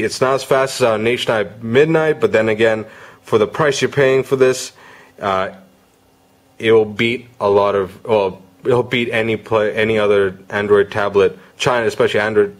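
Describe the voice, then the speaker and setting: A man giving a casual, unscripted product review, halting and rephrasing as he goes. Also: lowish voice.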